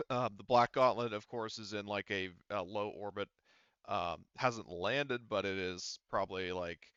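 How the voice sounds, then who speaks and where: A man's voice: on the low side, measured pace, a bit bright, clean tone, dry recording, muffled recording. A man running a tabletop role-playing game, explaining things casually and hesitantly as he thinks aloud. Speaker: game master.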